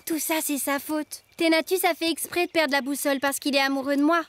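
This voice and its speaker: Very high-pitched bossy cartoon girl. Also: steady tone, brisk, fairly bright tone. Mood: accusing. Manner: blunt, contrary. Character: bossy, stubborn little schoolgirl in a cartoon.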